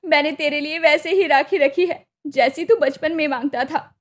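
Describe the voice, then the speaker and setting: A woman voicing an effusive, high-pitched village big sister. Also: steady tone, normal speed, lightly acted. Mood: joyful, fussing. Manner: effusive, fussing, affectionate. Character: loving, emotional elder sister in an Indian village. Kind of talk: moral cartoon story acting.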